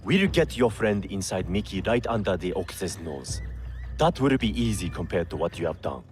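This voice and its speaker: A cynical smuggler in a video game, all sly courtesy. His low voice moves with lively melody, smooth-talking and scheming. Faint music sits behind it. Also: normal speed. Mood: sly, businesslike. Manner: suave, sly, mock-polite. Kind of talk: video game cutscene acting.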